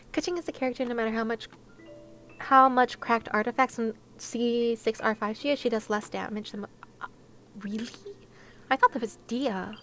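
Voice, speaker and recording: A woman's voice: on the high side, animated, measured pace, pauses often, background noise. A woman chatting casually to viewers on a game livestream, with a playful, giggly, chatty manner. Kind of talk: casual livestream chat while gaming, talking to viewers.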